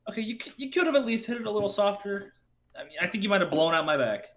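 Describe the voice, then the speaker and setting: A man's voice: high-pitched, sing-song, rapid-fire, fairly bright tone. A man playing a brash, impatient wish-granting spirit with slangy salesman's patter in a comedic reading. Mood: sheepish. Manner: slangy, exasperated dude-speak.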